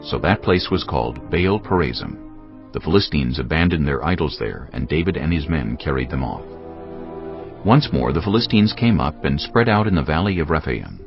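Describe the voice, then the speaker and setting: Extremely deep, gravelly male narrator. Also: animated. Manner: solemn, measured storytelling. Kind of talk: scripted scripture narration. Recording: dull, lo-fi audio. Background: faint music.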